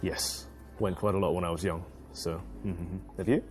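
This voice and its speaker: A man's voice: deep voice, steady tone, moderate pace, close to an everyday voice. A man playing a young suitor who chats up a woman with friendly, hesitant small talk. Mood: eager. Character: young male suitor, chatty and eager. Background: background music.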